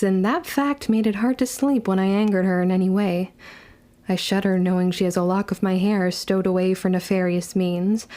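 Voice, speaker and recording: Mellow voiced young witch played by a woman, wry and proper, old-timey wording, telling her story in an audio drama. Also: mid-range voice, natural voice. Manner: formal, measured, old-fashioned diction. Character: young orphan witch, formal and wry, recalling her past. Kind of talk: period audio drama narration.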